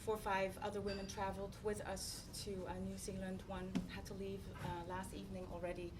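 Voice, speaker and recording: A woman's voice: medium pitch, completely flat delivery, fairly quick. A woman from the Netherlands giving an upbeat, grateful and playful speech to an audience. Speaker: founder and speaker. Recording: distant mic in a noisy room.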